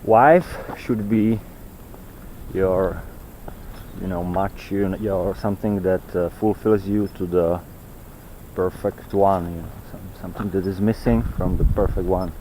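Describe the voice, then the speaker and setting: A man giving an unscripted, rambling monologue while walking outdoors, halting and fragmented as he searches for words. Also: sits low, fairly even intonation, mellow, a little hiss or hum, low-quality recording. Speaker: vlogger. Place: outdoors on a walk in nature.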